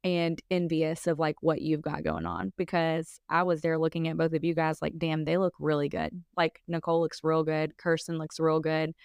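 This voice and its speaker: Woman, thirties, podcast co-host, casual and candid, smooth lowish voice, dry sound. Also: moderate pace, few pauses, a little mellow, dry recording. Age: 30s. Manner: candid, filler-heavy, laughs while talking. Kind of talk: casual chat.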